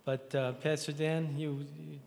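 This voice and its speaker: A man with a raspy voice giving a halting, emotional memorial address and reading scripture in a church. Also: fairly high pitch, flat delivery, a little mellow, big-room sound. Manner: halting, tearful, repeats words. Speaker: speaker at a memorial service.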